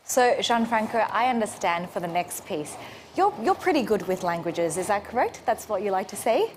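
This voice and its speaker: A woman hosts a morning show and asks friendly, leading questions in a voice of middle pitch. Faint background noise sits under her voice. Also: very animated, normal speed. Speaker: show host. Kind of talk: interviewing on a live show.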